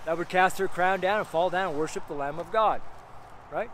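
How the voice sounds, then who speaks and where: A middle-aged man preaching an outdoor street sermon, reading scripture slowly and repeating his points with frequent "right?" checks, recorded beside a busy road by a camera facing him. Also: high-pitched, fairly bright tone. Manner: emphatic, repetitive, keeps asking "right?"; reads scripture slowly and deliberately. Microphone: camera facing him at medium distance. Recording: outdoor traffic noise.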